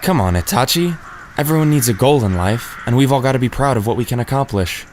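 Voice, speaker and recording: A man's voice: medium pitch, expressive, quick pace, darkish tone, a little hiss or hum, lightly acted. A man playing a breezy, boastful young ninja teacher in an audio drama, casual, slangy and teasing. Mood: easygoing. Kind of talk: fan audio drama acting.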